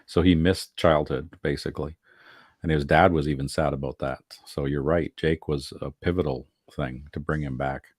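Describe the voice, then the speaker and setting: Very deep-voiced man, dry close sound. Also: steady tone, normal speed, a little mellow, dry recording. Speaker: panelist in a read-along discussion. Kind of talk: casual discussion.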